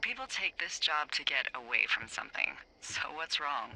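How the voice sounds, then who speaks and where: An actress playing a wry, bossy, foul-mouthed fire lookout supervisor in a video game, teasing and casual over a handheld radio. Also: female voice, sits low, very animated, moderate pace, sharp tone, full character voice, rough recording. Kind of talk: naturalistic video game dialogue.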